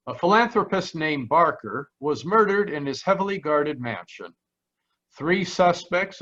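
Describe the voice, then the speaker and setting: A man telling a detective case in the first person, in measured 1940s radio drama narration, recorded on a home mic over a video call. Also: a little high, fairly bright tone, highs cut off. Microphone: home microphone on a video call.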